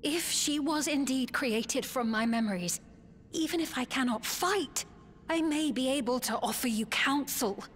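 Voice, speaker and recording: A woman playing a regal young queen, gentle yet righteous, speaking formally and earnestly in a video game cutscene. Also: high-pitched, a little slow. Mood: earnest pleading.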